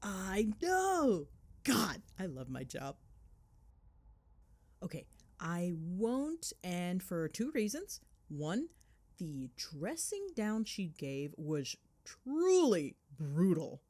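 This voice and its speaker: Animated, low-pitched gossipy girlfriend played by a woman. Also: very slow, exaggerated voice. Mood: gleeful, dramatic. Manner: animated, dramatic, sarcastic gossipy storytelling. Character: chatty, cheeky, affectionate girlfriend gossiping while driving home. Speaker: voice actor. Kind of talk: ASMR girlfriend roleplay, casual storytelling.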